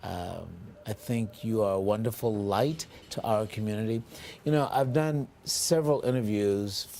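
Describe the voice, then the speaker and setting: Man, local tv host, lower voice, formal and measured, pauses with ums. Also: dark tone, slight background noise. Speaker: TV interview host. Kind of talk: local TV interview.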